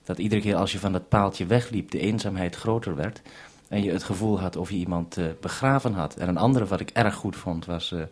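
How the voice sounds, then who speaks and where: Male radio maker, lowish husky voice, halting but reassuring delivery in two-way radio exchanges. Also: darkish tone. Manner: hesitant, reassuring. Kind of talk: two-way radio conversation for broadcast. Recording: 1971 radio broadcast recording.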